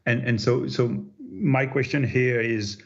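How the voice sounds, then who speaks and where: A man's voice: medium pitch, fairly even intonation, slow pace, muffled recording. A man calling into an earnings call to ask a question, with hesitant, stop-start phrasing over a conference line. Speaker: caller asking a question.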